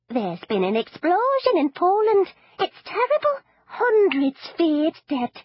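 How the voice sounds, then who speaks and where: A woman's voice: very high voice, huge pitch swings, unhurried, no room sound, muffled recording, voice pushed up, exaggerated voice. A woman voicing an elderly grandmother who is chatty, cheerful and fond of disasters, with a fussy, sing-song way of talking. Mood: gleefully dramatic. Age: older.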